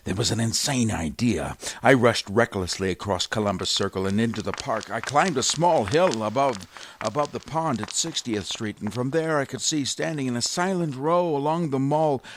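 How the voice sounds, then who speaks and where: Man playing a low, gravelly, solemn survivor. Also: dry recording. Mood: tense awe. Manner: solemn, measured, literary. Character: sombre, educated male survivor recounting a Martian invasion. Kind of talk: old-time radio drama monologue.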